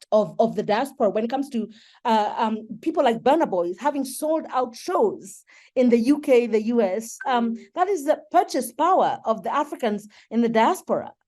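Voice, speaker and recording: A woman's voice: on the high side, warm tone. A woman giving an explanatory webinar presentation as a guest speaker, articulate and persuasive. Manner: articulate, persuasive, poses rhetorical questions. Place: online webinar.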